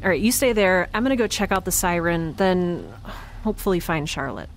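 A woman's voice: lowish voice, animated, fairly quick, slight background noise. A woman playing a chatty, quirky, anxiously upbeat space dweller in an audio drama, rambling wryly and naturally. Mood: anxious, self-reassuring. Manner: rambling, wry, self-reassuring. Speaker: lead character. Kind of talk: naturalistic audio drama acting, talking to herself.

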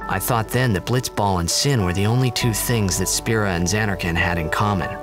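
An actor playing a brash, upbeat teenage athlete in a fantasy video game, with a boyish, earnest delivery. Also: male voice, fairly low pitch, a little fast, music in the background, lightly acted. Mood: reflective, calm. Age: young adult. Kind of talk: video game voice acting, with reflective narration.

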